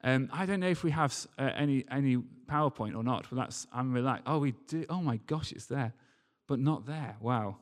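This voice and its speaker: A man's voice: medium pitch, brisk, few pauses. A man giving a sermon in a conversational, storytelling way, with emphatic repetition, recorded live in a large church hall. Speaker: preacher. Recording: live talk in a reverberant hall.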